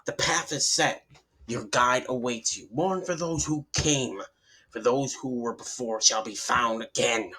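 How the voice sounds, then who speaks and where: A man's voice: mid-range voice, unhurried, sharp tone. A man voicing a grim male investigator in a horror story, speaking in a grave and ominous manner. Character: investigator with a camera, grimly piecing together horror.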